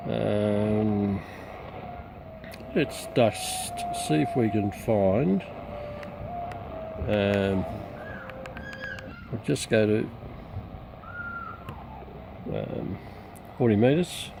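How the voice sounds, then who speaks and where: A middle-aged man with an Australian accent gives an unscripted product demonstration as a reviewer, rambling hesitantly with frequent pauses. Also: lowish voice, lively intonation. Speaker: radio reviewer. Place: outdoors in a backyard. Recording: clearly noisy outdoor camera recording.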